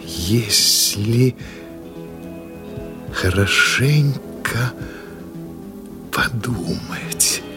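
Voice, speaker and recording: An imaginative, dreamy male writer in an audio drama. He muses theatrically, very slowly, with a widely swinging melody to his speech. Loud music plays beneath him. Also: mid-range voice, animated. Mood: musing. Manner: theatrical, musing aloud with drawn-out pauses.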